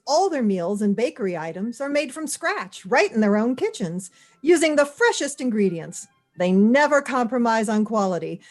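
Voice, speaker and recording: Animated woman reading a commercial. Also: on the high side. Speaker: actor reading a sponsor advertisement. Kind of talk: scripted advertisement read.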